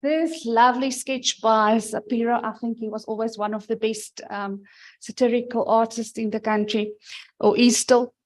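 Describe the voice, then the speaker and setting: Middle-aged woman presenter, loud and emphatic, slightly high voice. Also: steady tone, moderate pace, low-quality recording. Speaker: conference presenter. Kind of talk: conference presentation.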